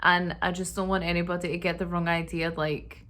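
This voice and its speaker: A woman vlogging a casual apartment tour indoors, talking in a monotonous, relaxed way with lots of fillers. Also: on the low side, brisk. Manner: monotonous, flat delivery; relaxed, filler-heavy talk.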